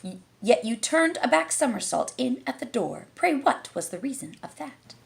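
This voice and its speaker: A woman's voice: fairly high pitch, big pitch swings, normal speed, vocal fry, pitched above the performer's own voice, full character voice. Sing-song young man's questions, read by a woman. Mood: questioning. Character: questioning young man in a comic poem.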